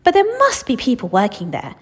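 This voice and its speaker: A woman voicing an excited, curious young boy full of eager questions in a children's storybook reading. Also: on the high side, a little fast, slightly rough, long reverb tail, pitched above the performer's own voice, exaggerated voice. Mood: unsure. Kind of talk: children's storybook read-aloud.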